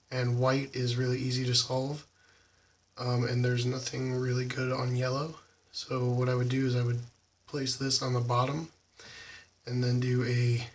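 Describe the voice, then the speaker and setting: A slightly hoarse young man casually talking through how he solves a puzzle cube, halting with lots of ums. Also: medium pitch, recorded from a distance, slight background noise. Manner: halting, many ums, soft and quiet. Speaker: YouTube cubing channel host. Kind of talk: casual tutorial walkthrough.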